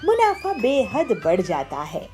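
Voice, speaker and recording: A woman's voice: a little high, wildly swooping pitch, slow, mellow, faint music behind. A woman reading scripted children's story narration.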